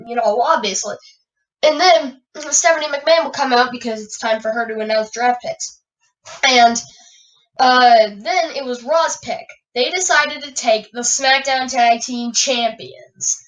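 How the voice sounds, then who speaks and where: A woman hosting a wrestling podcast, raspy and stuffy from a cold, moving from an announcer-style welcome into loose rambling chat full of fillers. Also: sits high, moderate pace, fairly bright tone, recorded from a distance, low-quality recording. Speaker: podcast host. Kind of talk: wrestling news commentary.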